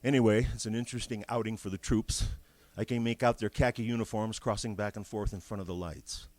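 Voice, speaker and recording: An actor playing a self-assured army captain giving a formal field report in a radio drama. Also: male voice, fairly low pitch, fairly even intonation, a little fast, darkish tone, dry recording, natural voice. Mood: casual, observant. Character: brisk, confident male army signal corps captain. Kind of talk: old-time radio military field report.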